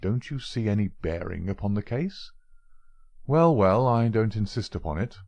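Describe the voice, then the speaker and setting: A cool, confident detective who questions in a measured, probing way, read by a man. His voice is low-pitched and steady, close to the reader's own. It has a dry, close sound. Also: gravelly, no room sound. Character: sharp, confident male detective, middle-aged.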